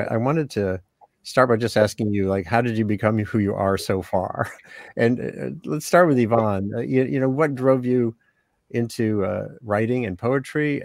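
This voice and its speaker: A middle-aged man hosting a video call conversation, talking in a halting way with many restarts and fillers. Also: lowish voice, no room sound. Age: middle-aged or older. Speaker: host.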